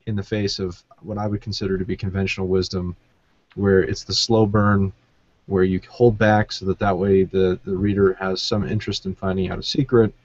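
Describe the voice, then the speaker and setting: A man's voice: low-pitched, flat delivery, normal speed, a little mellow, dry recording, lo-fi sound. A man co-hosting a casual book discussion, thinking aloud in a hesitant way. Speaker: podcast co-host.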